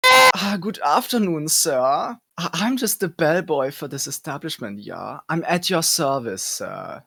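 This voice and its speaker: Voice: medium pitch, wildly swooping pitch, unhurried, gravelly, sharp tone, heavily acted. Swooping, sleazy bellboy. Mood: oily, rude. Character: sleazy, crude, over-polite male bellboy. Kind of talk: comedic amateur game dub.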